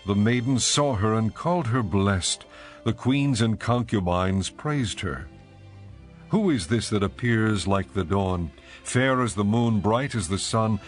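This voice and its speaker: A man playing an adoring lover in a dramatized scripture reading, speaking in measured, reverent, poetic phrases. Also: on the low side. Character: adoring male lover praising his bride, ancient royal poetry.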